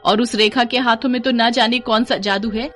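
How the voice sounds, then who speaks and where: A woman's voice: a little high, steady tone, moderate pace, a bit bright, faint music behind, voice pushed up, lightly acted. A woman voicing a jealous, hot-tempered village wife in a cartoon story, scolding and taunting in a rustic eastern Hindi dialect. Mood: angry, scheming.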